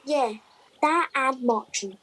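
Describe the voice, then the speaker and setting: A woman plays a blustering, brawling soldier in a radio comedy. The voice is high and the pace slow, with a boastful, bickering manner. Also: fairly bright tone, exaggerated voice. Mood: matter-of-fact. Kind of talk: comedy radio play dialogue.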